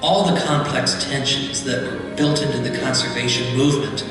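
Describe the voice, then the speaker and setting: A man's voice: medium pitch, fairly even intonation, moderate pace, recorded from a distance. A man delivering scripted documentary narration. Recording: echoey hall playback from afar, loud music.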